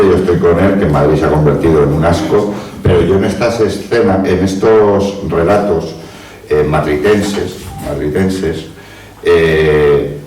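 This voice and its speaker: A man's voice: rumbling low, darkish tone, slight background noise. A man speaking as a panelist at a book presentation, reflective and hesitant, with many fillers. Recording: echoey room, distant mic.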